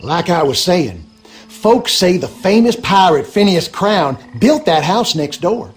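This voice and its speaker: An actor playing a gossipy, superstitious backwoods husband in a video game, with a rural Louisiana accent and folksy, colloquial talk. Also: male voice, high-pitched, big pitch swings, measured pace, faint music behind. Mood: friendly, storytelling. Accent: rural Louisiana Southern American. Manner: folksy, drawling, colloquial storyteller. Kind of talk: animated game character dialogue.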